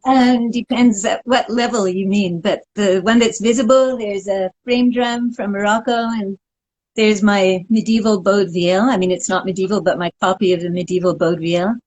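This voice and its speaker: A woman's voice: medium pitch, fairly even intonation, moderate pace, darkish tone, rough recording. A woman in her sixties, from Montreal, answers interview questions in a dry, wry, thoughtful way. She speaks over a video call from her living room. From Montreal, Canada. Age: 60s. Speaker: guest. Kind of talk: casual interview.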